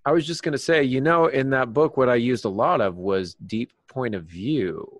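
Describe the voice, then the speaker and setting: A man's voice: medium pitch, normal speed, no echo, studio quality. A man co-hosting a podcast, chatting casually in a rambling, self-deprecating way. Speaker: podcast co-host and author. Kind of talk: casual chat.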